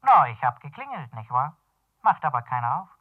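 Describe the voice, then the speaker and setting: An actor playing a fussy building caretaker in a 1970s German radio crime play, explaining things in a halting, folksy way over a telephone. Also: male voice, mid-range voice, sing-song. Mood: agitated, dutiful. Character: fussy male building caretaker reporting to an owner. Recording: band-limited telephone line in radio play.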